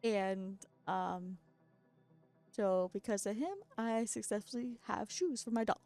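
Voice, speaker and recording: A woman's voice: medium pitch, takes it slowly, warm tone, faint music behind. A woman narrating her doll customizing in a casual voice-over. She is very tired after being up all night, her voice keeps cracking, and she chats along with frequent self-deprecating laughs.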